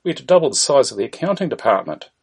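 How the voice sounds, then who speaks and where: A man's voice: mid-range voice, very animated, racing, husky, pitched above the performer's own voice. A man voicing an apologetic, officious fleet bureaucrat who is defensive in a comedy sketch. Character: apologetic bureaucratic Starfleet official making excuses.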